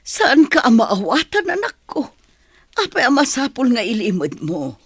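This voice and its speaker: Woman as fretful Filipino mother for audio drama: higher voice, slightly rough, close dry sound. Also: huge pitch swings, a little slow, no echo, exaggerated voice. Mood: worried, pleading. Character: anxious, loving mother of a grown son.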